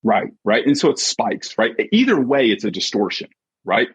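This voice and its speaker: A husky-voiced man giving an emphatic, conversational motivational talk as a coach and podcast guest, often checking in with short rhetorical questions. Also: medium pitch, very animated, normal speed, dry recording. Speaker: podcast guest, sports mindset coach and author. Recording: dull, muffled lo-fi audio.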